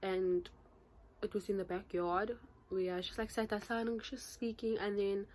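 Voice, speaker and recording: A woman's voice: mid-range voice, normal speed. Chatty nineteen-year-old woman vlogging. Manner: chatty, animated, gestures a lot, says "like" constantly. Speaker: YouTuber. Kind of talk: casual to-camera vlog. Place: small bedroom in front of a patterned curtain. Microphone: phone or camera at arm's length.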